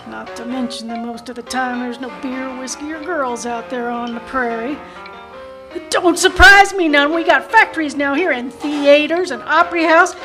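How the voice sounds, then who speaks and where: A woman's voice: high-pitched, moderate pace, over music, close to an everyday voice. A woman playing a brassy, flirtatious, bossy saloon madam with folksy frontier speech in an amateur radio western. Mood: sassy. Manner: sassy, folksy frontier grammar. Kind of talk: amateur old-time radio play acting.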